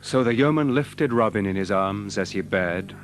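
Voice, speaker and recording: Low-voiced man narrating a dramatic tale. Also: slight background noise. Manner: expressive, measured literary delivery. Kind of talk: dramatic scripted storybook narration.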